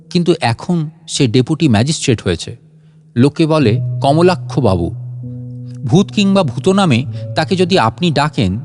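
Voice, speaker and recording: Man playing a lively, high-voiced sarcastic old gentleman. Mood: wry, chatty. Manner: wry, chatty, fussily indignant. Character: elderly, unflappable, sarcastic, talkative gentleman scientist.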